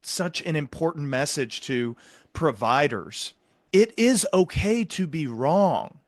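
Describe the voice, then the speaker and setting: A man hosting an interview, talking earnestly and explaining his points with measured emphasis. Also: on the high side, lively intonation, no room sound, studio quality. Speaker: podcast host.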